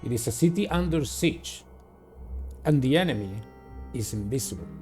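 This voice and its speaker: A man with a medium-pitched voice hosts a game playthrough. He comments and reads the game's text aloud, with music clearly playing behind him. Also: lively intonation, moderate pace, a little mellow. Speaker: gaming channel host.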